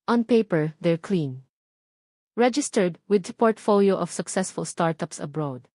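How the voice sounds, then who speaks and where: A woman's voice: fairly low pitch, animated, a little slow, a little mellow, no echo, studio quality. A woman with a Filipino accent voicing a suspicious, cautious businessman father in an audio drama.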